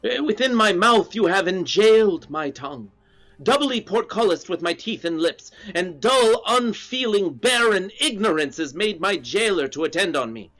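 A sorrowful banished duke. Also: male voice, sits high, a bit bright, full character voice. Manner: sorrowful, measured verse delivery. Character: aging male duke, grieving in exile. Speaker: actor. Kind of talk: staged Shakespeare reading. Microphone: webcam on a video call.

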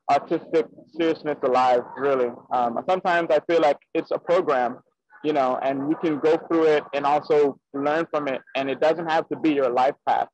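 A man's voice: a little high, no room sound. A young man from the Bahamas, a guest musician talking casually on a video call with many fillers. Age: young adult. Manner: relaxed, frequent fillers. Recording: dry, dull lo-fi call audio.

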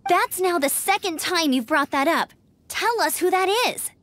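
A woman's voice: extremely high pitch, moderate pace, fairly bright tone, dry recording. A woman playing a spirited, dutiful teenage girl scout in an anime-style game, with a girlish voice and earnest, insistent manner. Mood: firm.

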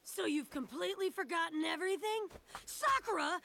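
Casting: hot-headed anime boy ninja, impatient, very high and animated. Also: normal speed, vocal fry, noisy background, heavily acted. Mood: frustrated, defiant. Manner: forceful, impatient, blurting. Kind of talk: English anime dub.

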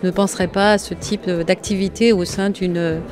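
A woman who manages logistics, interviewed at an indoor fair stand, pausing often with fillers. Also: mid-range voice, steady tone, music in the background. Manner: hesitant, many fillers. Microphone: handheld recorder held by interviewer.

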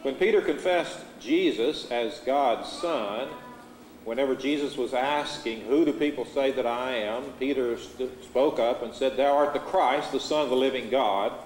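A middle-aged American man preaches from the pulpit in a measured, earnest voice of middle pitch. His voice carries a long reverb from the church sanctuary, recorded on a lapel mic with a faint hum behind it. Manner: measured, earnest preaching with steady Scripture reading. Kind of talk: sermon. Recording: echoey church hall, faint room noise.

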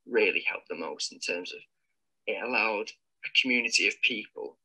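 Male student, thoughtful, bright tone. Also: on the low side, moderate pace, low-quality recording. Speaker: architecture student. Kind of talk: testimonial for a promo film.

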